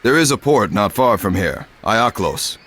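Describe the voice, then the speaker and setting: An actor playing a shrewd, confident ancient war captain in a game cutscene, assured and matter-of-fact. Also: male voice, lowish voice, sing-song, unhurried, noisy background. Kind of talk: dramatic game cutscene acting. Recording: faint music behind the voice.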